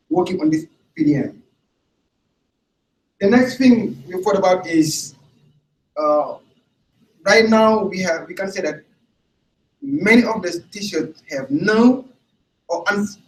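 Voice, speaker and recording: A man's voice: fairly high pitch, a little hiss or hum. Senegalese man presenting in an echoey room. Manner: deliberate, with restarts and repeated words. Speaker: English teacher presenting a training module. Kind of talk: presentation to camera. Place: room without audience. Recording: echoey room, far from the mic, faint ambience.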